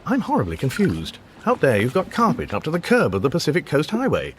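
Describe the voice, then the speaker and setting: A man's voice: a little high, wildly swooping pitch, brisk, a little hiss or hum. An actor playing a mild, baffled, apologetic Englishman with an English accent in a radio comedy drama, speaking hesitantly with dry understatement. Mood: confused. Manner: hesitant, bemused, dryly understated.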